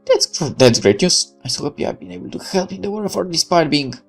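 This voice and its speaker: A man's voice: sits high, animated, quick pace, creaky, husky, faint music behind, voice pushed up, exaggerated voice. A man voicing an awkward, naive young soldier in a visual novel, hesitant and eager to impress. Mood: nervous, eager.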